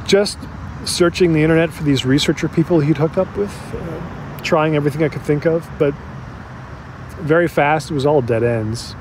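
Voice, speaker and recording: An actor playing a regretful man confiding in an interviewer, in a halting, reflective, conversational way for an audio drama. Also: on the high side, background noise, natural voice. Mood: reflective, frank.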